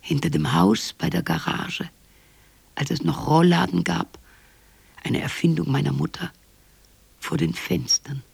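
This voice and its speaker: An actress in a radio drama playing a wistful, lonely motherly figure, speaking in a husky, breathy half-whisper and short, intimate, incantatory phrases. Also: low-pitched, fairly even intonation, a little slow, long pauses, darkish tone, dry recording, heavily acted. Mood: tender, nostalgic.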